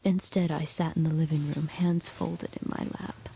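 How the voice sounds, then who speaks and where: A quietly regretful mother narrating. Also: sits low, level tone, moderate pace, gravelly, a little hiss or hum. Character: grieving young mother fleeing with husband and daughter. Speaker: narrator and protagonist. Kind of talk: reflective first-person narration.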